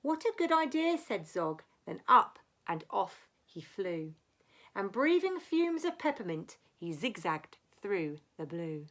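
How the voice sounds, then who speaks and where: A woman reading a rhyming children's story aloud, with dramatic, deliberate phrasing. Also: fairly low pitch, big pitch swings, a bit bright.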